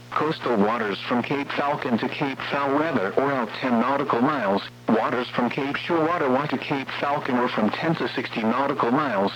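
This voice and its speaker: A synthetic male voice reading scripted weather warning bulletins in a formal, flat manner as an automated radio announcer. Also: mid-range voice. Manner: formal, flat synthetic text-to-speech delivery. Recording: muffled, noisy weather radio with hum.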